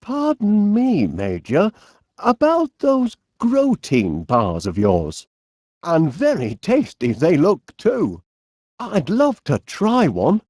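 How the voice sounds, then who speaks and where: A middle-aged, eccentric inventor from a cartoon game with a Northern English accent. His delivery swoops up and down at an unhurried pace. He is chirpy and quaintly polite, with a bit of dithering, recorded close and dry. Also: high voice, wildly swooping pitch, a little mellow, breathy edge, dry recording, heavily acted. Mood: polite, hesitant. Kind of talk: cartoon video game voice acting.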